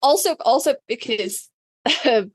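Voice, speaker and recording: A woman co-hosting a podcast, animated and casual, swearing and talking in quick run-on phrases. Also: sits high, no room sound. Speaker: podcast co-host.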